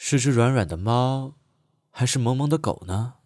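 A man acting in an audio drama as an excitable, stammering man who gushes over cute animals. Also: mid-range voice, normal speed, slight background noise, natural voice. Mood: gushing. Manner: stammering, gushing.

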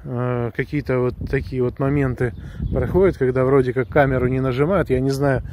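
A man talking casually to viewers while walking outdoors, explaining things with drawn-out hesitations. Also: medium pitch, level tone, background noise. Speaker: vlogger reviewing a gadget. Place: outdoors on a woodland path, walking. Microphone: handheld camera or phone held close while walking.

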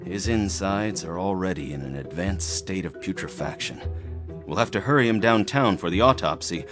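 Crime-scene official played by a male actor, pulp audio thriller, fairly low pitch, urgent dramatic delivery, music underneath. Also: animated, moderate pace. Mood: grim, urgent.

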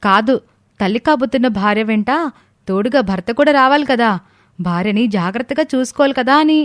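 A woman's voice: on the high side, animated, brisk, no room sound, clean studio sound, close to an everyday voice. A woman playing a sweet young expectant wife in a family story, polite and playfully coy. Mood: coy, happy. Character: sweet, coy young pregnant wife, respectful daughter-in-law.

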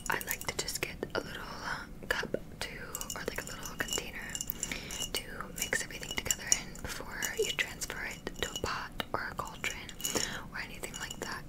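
A young woman whispering an ASMR roleplay as a witch teacher, gently encouraging and instructional, close to the microphone. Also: brisk. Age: young adult. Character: friendly witch teaching spells and potions to a beginner.

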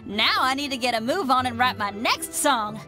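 A woman's voice: high-pitched, normal speed. A brash, slangy young rocker girl. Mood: eager. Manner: casual and slangy, lively. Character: brash young female rock musician, upbeat. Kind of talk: game voice acting. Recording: game audio with music behind.

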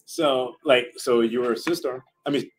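A young man hosting a livestream signing, joking and excitable in a casual way. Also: mid-range voice, measured pace. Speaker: stream host. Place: small streaming studio.

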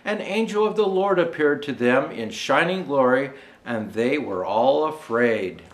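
An older man in a Santa role reading a children's storybook aloud, deliberately and with expressive rises on his phrases. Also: medium pitch, low-quality recording. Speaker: storyteller dressed as Santa Claus.

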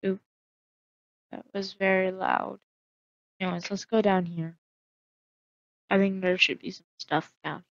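Slow, unhurried woman narrating a game. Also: mid-range voice, little pitch movement, creaky, no echo, lo-fi sound. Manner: hesitant, filler-heavy, musing aloud. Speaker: solo roleplay player.

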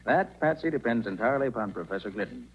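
An actor playing a confident, genial master detective in a 1940s radio drama. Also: male voice, medium pitch, lively intonation, quick pace, slightly rough. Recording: muffled, noisy 1940s radio recording.

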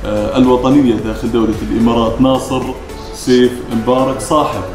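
A man's voice: medium pitch, fairly even intonation, quick pace, music in the background. A man with a Levantine Arabic accent hosting a business interview, polite and effusive with his guest. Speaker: interviewer, show host. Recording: roomy, echoing, clearly noisy background.

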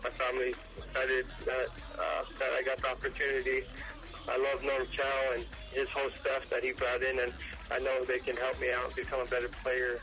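A man's voice: mid-range voice, monotone, a little fast, sharp tone, faint music behind, poor audio. A young man from Hawaii giving casual interview answers as a football recruit. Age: late teens to young adult. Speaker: high school football recruit.

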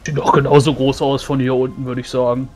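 A man's voice: medium pitch, little pitch movement, quick pace, darkish tone, faint music behind, close to an everyday voice. A man playing a blunt, knowing adventurer who talks colloquially in a tabletop roleplay. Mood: casual.

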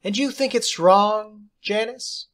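A man's voice: high voice, takes it slowly, a bit bright, voice pushed up. A man voicing an eccentric, jolly woman substitute teacher in an audiobook story, precise, theatrical and condescending. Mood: airy, breezy.

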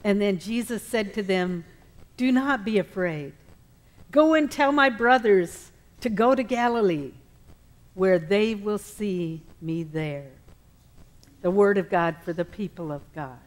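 A middle-aged woman gives a formal scripture reading in church. She takes her time over each short phrase, in a mid-range pitch, and reads with expression. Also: a little slow. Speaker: scripture reader at church service. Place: church sanctuary, at the pulpit.

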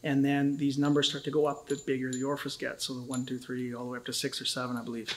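A man's voice: medium pitch, monotone, fast talker, slight background noise. A man, teaching welding safety in a casual, joking way in a welding shop. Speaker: welding instructor. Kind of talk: instructional video.

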